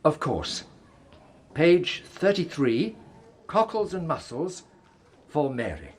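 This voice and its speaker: Husky, kindly man with very lively intonation. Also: on the high side, slow pace, full character voice. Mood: gentle, reassuring. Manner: gentle, reassuring. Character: kindly, reassuring male wartime schoolteacher escorting evacuees. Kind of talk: radio play acting.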